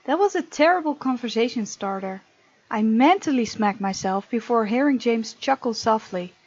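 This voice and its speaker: Woman, higher-pitched voice, gaming host, reading aloud, chatty asides, casual, slightly muffled lo-fi mic. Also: animated, moderate pace, no echo. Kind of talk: let's play, reading aloud with casual comments.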